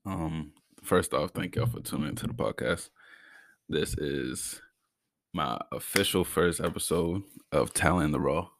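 A man's voice: very deep voice, measured pace, vocal fry, a bit bright, no echo. A young man from Massachusetts hosting his own podcast in a casual, slangy way, with an occasional stutter. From Brockton, Massachusetts. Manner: slangy, laid-back, stutters at times. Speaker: podcast host. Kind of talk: casual solo podcast talk.

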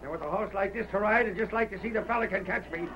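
Brash snarling cowboy villain, highish voice, selfish outlaw, noisy muffled old radio. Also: male voice, fast talker. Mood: sneering, threatening. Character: treacherous, sneering, selfish western outlaw killer. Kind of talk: old-time radio western acting.

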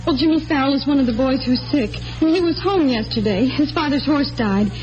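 An earnest young schoolteacher acted by a woman in a classic radio play, flustered and quick to take offence. Her voice is high. The old recording is dull, with faint music. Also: darkish tone, close to an everyday voice. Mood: anxious. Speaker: actor. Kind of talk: old-time radio drama acting.

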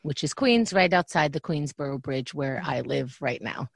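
A woman's voice: low-pitched, normal speed, creaky, husky, dry recording, studio quality. A woman from Israel, raised in New York, chatting as a guest and telling stories with lots of "like". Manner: animated storytelling, lots of "like". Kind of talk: casual podcast chat.

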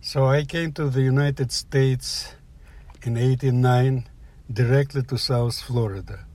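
An older man from the former Soviet Union, speaking English with a Russian accent, telling a personal story in halting, plain phrases. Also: mid-range voice, fairly even intonation, a little mellow. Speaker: guest storyteller. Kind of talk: personal story told aloud.

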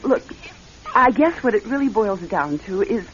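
An American woman playing an earnest, composed bride-to-be in a radio drama, polite and gently persistent. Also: medium pitch, expressive, normal speed, slight background noise, close to an everyday voice. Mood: anxious, insistent. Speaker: actress in a radio play. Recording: dull lo-fi radio recording.

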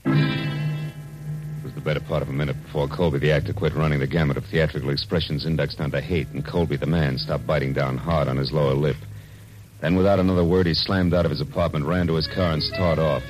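Cynical private eye, very deep voice, wry tough talk, 1940s radio noir, music behind. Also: male voice, fairly even intonation, brisk, a little mellow, low-quality recording. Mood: grim, wry narrating. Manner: wry, tough-talking, slangy.

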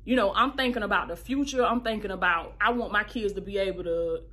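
A woman, a podcast host giving heartfelt life advice in a preachy, animated way, repeating phrases for emphasis and calling listeners baby. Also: medium pitch, brisk. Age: 30s. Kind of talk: casual advice monologue.

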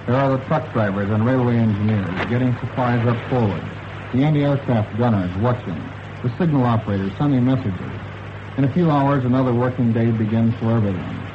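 An American man reporting as a radio correspondent in scripted 1940s news narration. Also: medium pitch. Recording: dull, noisy wartime shortwave radio relay.

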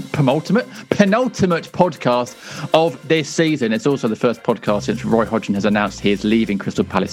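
A tired man, hosting a casual podcast chat with quick asides and easy laughs. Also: mid-range voice. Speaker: podcast host.

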